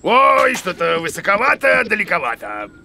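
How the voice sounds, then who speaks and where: A high-pitched, gravelly cartoon creature, a grumpy bearded know-it-all teacher. He blusters and mutters in slow, self-assured bursts that swoop wildly up and down. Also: wildly swooping pitch, bright, forceful tone, a little hiss or hum, heavily acted. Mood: grumpy, blustering. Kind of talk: animated children's cartoon acting.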